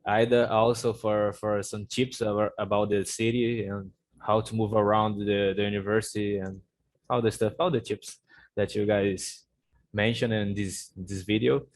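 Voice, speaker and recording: A man's voice: on the low side, level tone, moderate pace, no room sound. A man hosting a virtual fair over a video call, often repeating words as he goes. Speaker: host of the fair.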